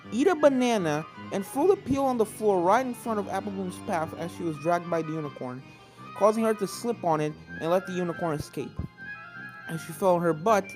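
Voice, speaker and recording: Woman, storyteller, fairly low voice, lively intonation, scripted fan fiction narration, background music. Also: rough recording.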